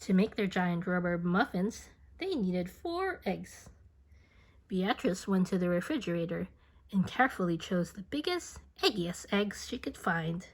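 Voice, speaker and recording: Slow, very animated woman storyteller. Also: on the low side. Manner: expressive, dramatic, with whispers and playful sound effects. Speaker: picture book reader. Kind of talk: animated children's read-aloud storytelling.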